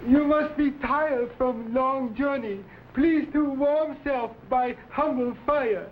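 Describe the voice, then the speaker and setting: Sly, obsequious innkeeper, stage Chinese accent, unhurried, thin vintage broadcast sound. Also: male voice, very high-pitched, fairly even intonation. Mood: fawning welcome. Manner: obsequious, stilted broken English. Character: fawning, sly Chinese innkeeper, exaggeratedly humble poisoner. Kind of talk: 1950s television adventure serial acting. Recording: thin phone-like old broadcast, clearly noisy.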